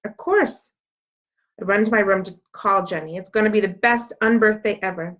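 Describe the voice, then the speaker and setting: A woman reading a children's book aloud as an expressive storyteller. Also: mid-range voice, a little fast. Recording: dull, lo-fi sound.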